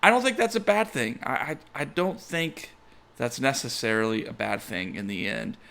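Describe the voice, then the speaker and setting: A man co-hosting a casual soccer podcast, weighing points in a measured, analytical way. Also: medium pitch, animated, creaky, pushed and bright. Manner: measured, analytical, reads listener questions. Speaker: podcast co-host. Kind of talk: casual sports chat.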